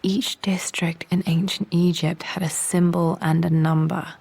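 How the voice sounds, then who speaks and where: Low creaky-voiced thoughtful young Englishwoman. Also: level tone, a little slow, a little mellow, heavily acted. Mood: reflective. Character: young adventurous woman archaeologist, thoughtful and determined. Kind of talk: video game voice acting, musing to herself.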